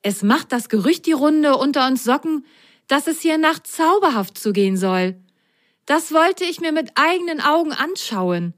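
A woman voicing an eager, adventurous young male sock in a children's story, chatty and excitable. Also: sits high, moderate pace, voice pushed up. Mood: eager, proud.

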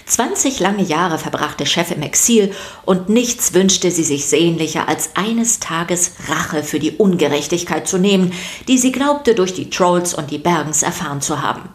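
A woman narrating a scripted children's story podcast, expressive and speaking directly to the listeners. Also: on the low side, a little slow.

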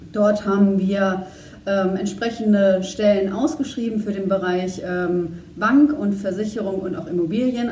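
A woman's voice: mid-range voice, dark tone. Woman, HR officer, orderly explaining, distant mic, echoey room. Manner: orderly, explanatory, many "ähm" fillers. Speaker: HR officer in charge of trainees. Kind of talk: explaining in a podcast interview. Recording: roomy echo from off mic with faint noise.

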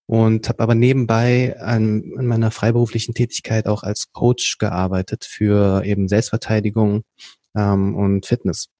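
A man from Berlin, a podcast guest, telling his story in a casual chat, often pausing with fillers and restarting sentences. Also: lowish voice, level tone, dark tone, dry recording. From Berlin, Germany. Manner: explains at length with frequent hesitation fillers and restarts. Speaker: podcast guest, company co-founder.

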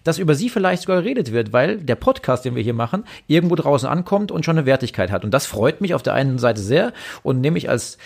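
A man co-hosting a motorsport podcast, talking loosely and at length, his sentences trailing off and restarting around fillers. His voice has a gravelly, creaky edge and sits in a middle pitch. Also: quick pace, few pauses. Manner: rambling, animated, many fillers. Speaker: podcast co-host, former racing driver. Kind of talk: casual chat.

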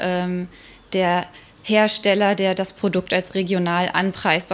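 Mid-pitched woman, explanatory expert guest. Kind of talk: spontaneous interview explanation.

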